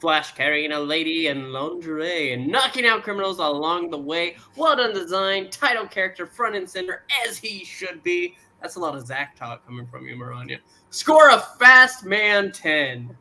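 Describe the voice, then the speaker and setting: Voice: mid-range voice, lively intonation, normal speed, few pauses, pushed and bright. A co-host on a casual livestream panel, chatting and reading out viewer votes.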